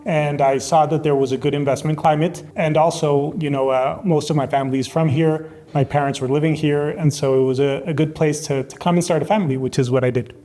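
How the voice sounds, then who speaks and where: A man in his forties from Colombia, a corporate attorney, answering interview questions in a measured, businesslike way indoors in his apartment. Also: on the high side, fairly even intonation, dark tone.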